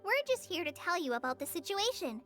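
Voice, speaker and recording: Casting: childlike video game fairy sidekick, chirpy, extremely high, lively swoops. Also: female voice, brisk, faint music behind, exaggerated voice. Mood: reassuring. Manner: chirpy, eager. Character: small, chirpy, childlike female fairy companion. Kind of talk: video game cutscene acting.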